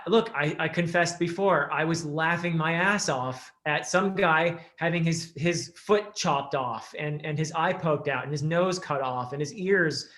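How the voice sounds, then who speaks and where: A man giving his opinions as a guest in a casual, reflective discussion, measured and pausing mid-sentence. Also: fairly high pitch, fairly bright tone.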